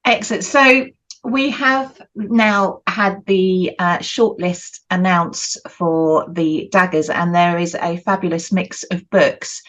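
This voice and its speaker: A woman's voice: fairly low pitch, steady tone, slow pace, fairly bright tone, poor audio. A woman interviewing a guest over a video call, encouraging him with short affirmations. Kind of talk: conversational interview.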